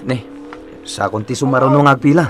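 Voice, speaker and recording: A man's voice: mid-range voice, brisk, over music, close to an everyday voice. A man playing a weary, torn husband and doting father in a radio drama, speaking in a subdued, troubled way. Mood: regretful. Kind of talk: Ilocano radio drama acting.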